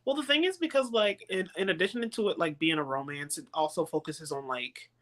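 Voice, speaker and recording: A woman's voice: on the low side, brisk, a bit bright. A woman chatting casually about books on a live show, speaking colloquially and with emphasis. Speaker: book readalong live show host. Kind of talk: casual chat.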